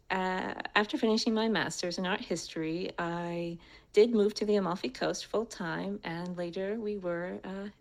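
An American woman telling her personal story as a speaker, in a prepared but conversational way. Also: mid-range voice. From United States.

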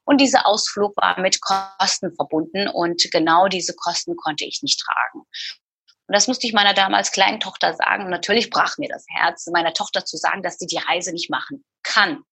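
Middle-aged woman, confessional guest, breathy tone, dry close sound. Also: lowish voice, normal speed, bright, forceful tone, dry recording. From Turkey. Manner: earnest, confessional, searching for words. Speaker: guest, former TV presenter. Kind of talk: personal interview.

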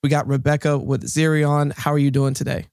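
A man's voice: fairly high pitch, little pitch movement, a little fast, vocal fry, darkish tone, no echo, studio quality. A man hosting a podcast, talking in a casual, upbeat interviewer's style. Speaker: podcast host.

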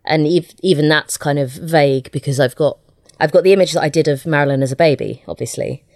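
A woman's voice: sits low, a little mellow, dry recording, clean studio sound. A woman being interviewed as an audio drama writer, chatting casually and often stopping to rephrase herself. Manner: halting, self-correcting.

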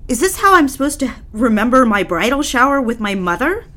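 An actress playing a guilt-ridden, defiant young bride-to-be in an audio drama, brooding and defensive in manner. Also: female voice, fairly high pitch, sing-song, brisk, pushed and bright, full character voice. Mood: defensive, indignant. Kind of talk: audio drama, confessional first-person narration and dialogue.

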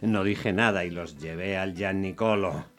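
Weary working-class cab driver played by a man, radio drama narrator, colloquial and grumbling, lower register, music bed. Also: measured pace. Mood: resigned narrating. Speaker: first-person narrator and lead actor. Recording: music under the voice.

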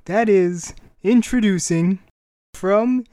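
A man's voice: high voice, slow pace, warm tone, dry recording. A man hosting a casual podcast, chatting informally and a little hesitant when he improvises. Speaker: podcast host.

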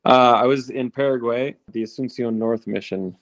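A man in his late thirties, a returned missionary, telling stories in a relaxed, rambling interview style. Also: mid-range voice, moderate pace, no echo. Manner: relaxed, rambling with fillers and restarts. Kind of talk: conversational interview storytelling.

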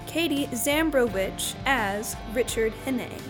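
High-voiced woman reading announcements. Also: lively intonation, slow pace. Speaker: credits announcer. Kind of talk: scripted announcement. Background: instrumental music.

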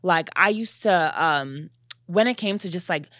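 A woman's voice: fairly low pitch, measured pace, no room sound, clean studio sound. A young woman born in Nigeria, hosting a podcast and chatting casually with many fillers, often acting out what others say. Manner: animated, many fillers, quotes herself in voices. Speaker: podcast host. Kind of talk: casual conversation.

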